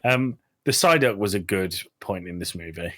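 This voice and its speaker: Low-pitched male podcast co-host. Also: lively intonation, normal speed, pushed and bright. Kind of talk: casual film chat.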